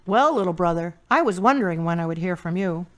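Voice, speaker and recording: A woman's voice: lowish voice, lively intonation, fast talker, natural voice. A woman acting a weary, dryly teasing big sister in an audio drama.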